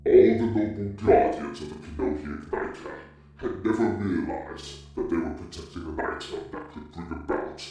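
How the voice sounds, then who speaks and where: A man playing a cold, scheming villain who gloats with slow, deliberate menace in an amateur fan dub. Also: rumbling low, dark tone. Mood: grave scheming. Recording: heavily echoing, distant voice under music.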